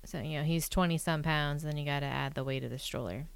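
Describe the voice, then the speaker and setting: A woman with a low, smooth voice chats as a podcast guest. Her manner is matter-of-fact and dry, with light joking. The sound is close, with no room echo. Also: steady tone, fast talker. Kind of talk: casual chat.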